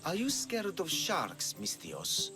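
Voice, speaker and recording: An actor playing an eager, scheming merchant in a video game, with a Greek accent and a persuasive manner. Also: male voice, on the high side, huge pitch swings, slow, heavily acted. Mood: eager, teasing. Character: eager, scheming male vegetable merchant desperate to leave. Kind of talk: video game cutscene acting. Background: music.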